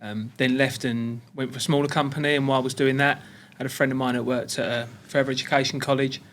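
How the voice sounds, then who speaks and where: A man's voice: mid-range voice, fairly even intonation, fast, fairly bright tone, a little hiss or hum. A British man, a bricklaying lecturer, talking keenly about teaching in a casual podcast chat. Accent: British English.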